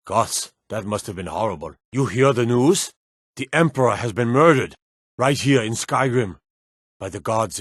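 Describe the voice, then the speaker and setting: Fantasy game guard, lowish pitch, dry close sound, blunt, wary, folksy. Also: male voice, no room sound. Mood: grave. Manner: blunt, suspicious, folksy, archaic turns of phrase. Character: gruff, wary male city guard in a fantasy realm. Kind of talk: video game character barks.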